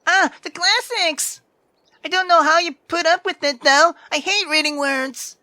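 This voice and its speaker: A woman's voice: very high voice, a little slow, sharp tone, no room sound, pitched above the performer's own voice, heavily acted. A woman voicing a brash, sarcastic sixteen-year-old girl who is theatrical, teasing and foul-mouthed in a visual novel read-aloud.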